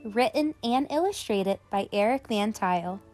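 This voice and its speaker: A woman's voice: medium pitch, animated, moderate pace, over loud music. A woman hosting a children's read-aloud, speaking expressively and directly to young listeners.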